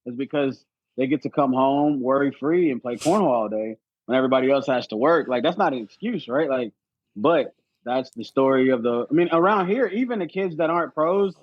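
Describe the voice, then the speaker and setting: A man's voice: mid-range voice, animated, fairly quick, dry recording. A man chatting casually on a sports podcast, emphatic and prone to repeating phrases to make his point. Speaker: podcast guest, competitive cornhole player. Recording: dull, band-limited sound.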